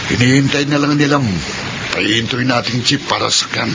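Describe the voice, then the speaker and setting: A dull, noisy radio drama recording of a young Filipino street crook played by a man. He is brash, scheming and slangy, with a gravelly creak. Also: mid-range voice, normal speed, close to an everyday voice.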